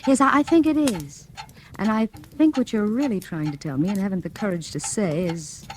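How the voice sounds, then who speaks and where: A woman's voice: mid-range voice, normal speed, lightly acted. A woman playing a wealthy, teasing married woman in an old radio drama, with a coy, affected way of talking. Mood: knowing. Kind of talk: 1940s radio drama acting. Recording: old radio broadcast with faint hiss.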